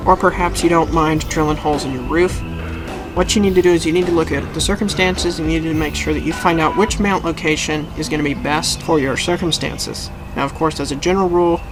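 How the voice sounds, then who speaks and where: A man hosting a DIY video, explaining things methodically, step by step, in an instructional style. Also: a little high. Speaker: host of a DIY how-to channel. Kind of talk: instructional how-to commentary.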